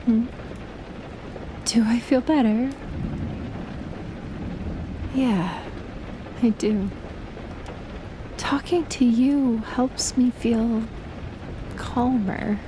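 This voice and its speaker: A woman playing a gentle, shyly affectionate best friend in an intimate audio roleplay, speaking softly and hesitantly with a breathy, near-whispered voice. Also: a little high, noisy background. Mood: calm, reflective. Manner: soft, hesitant, slow with long pauses. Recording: loud rain and thunder throughout. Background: rain and thunder effects.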